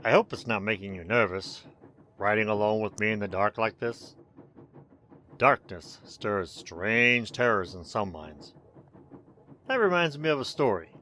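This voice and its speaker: An actor playing a mysterious, sinister but courteous host, narrating radio horror in a measured, theatrical way. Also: male voice, lowish voice, lively intonation, sharp tone, slight background noise. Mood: ominous, inviting.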